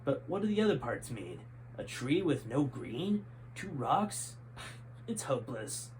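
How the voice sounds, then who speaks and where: A man voicing a scheming male general who talks low and quiet in a read-aloud story. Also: big pitch swings, unhurried, a little hiss or hum, poor audio. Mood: puzzled.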